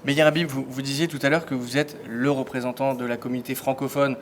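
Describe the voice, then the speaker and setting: Male interviewer, mid-pitched voice, conversational and probing, faint ambience. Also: flat delivery, fast talker, slight background noise. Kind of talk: interview questions.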